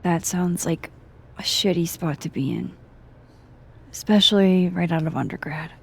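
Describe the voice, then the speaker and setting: A woman playing a guarded, dry-witted young academic in a video game, husky and understated in delivery. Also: lowish voice, measured pace, full character voice. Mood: wry, subdued. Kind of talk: naturalistic video game dialogue acting.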